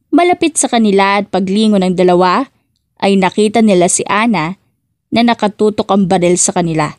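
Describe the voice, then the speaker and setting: A woman's voice: mid-range voice, darkish tone, no room sound, studio quality. A woman reading scripted dramatic narration as the narrator of an audiobook love story.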